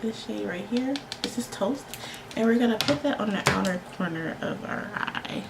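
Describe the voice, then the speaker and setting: A woman vlogger talks casually to her viewers in a playful, slangy way. Her voice is congested and a bit tired, sitting around a middle pitch with plenty of fry. Clear background noise runs through the recording. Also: lively intonation, normal speed. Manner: playful, slangy, emphatic repetition. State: tired, under the weather with allergies. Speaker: beauty vlogger and makeup tutorial host. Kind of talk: casual chatty makeup tutorial to camera. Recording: clearly noisy home recording.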